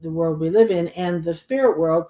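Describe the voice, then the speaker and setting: A woman guest who channels, explaining her ideas in a thoughtful, measured way. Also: fairly low pitch, dark tone. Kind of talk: casual conversation. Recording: roomy echo.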